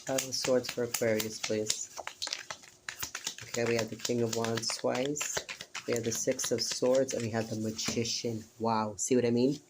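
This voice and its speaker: Hoarse man giving a flat-toned tarot reading. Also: mid-range voice, normal speed, very noisy. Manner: earnest, repetitive, addresses listener directly with "okay?". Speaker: tarot reader. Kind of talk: casual spoken tarot reading.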